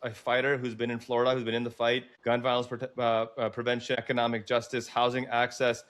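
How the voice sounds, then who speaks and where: A man's voice: medium pitch, monotone, fast talker, fairly bright tone. An American man hosting a political interview, speaking in an emphatic, opinionated way as he advocates. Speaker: host.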